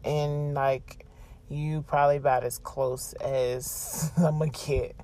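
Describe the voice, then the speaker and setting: Woman, podcast host, slow-paced, low-key flat pitch, blunt, slangy, profane, casual confessional chat.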